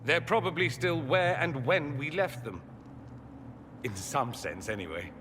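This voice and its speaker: Dryly theatrical scholar-mage for a fantasy game, very lively intonation, long reverb, noisy backdrop. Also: male voice, a little high, unhurried. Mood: wry explaining. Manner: wry, urbane, expository. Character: witty, self-assured male mage scholar, dryly theatrical. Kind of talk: video game voice acting. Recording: long hall reverb, clear background noise.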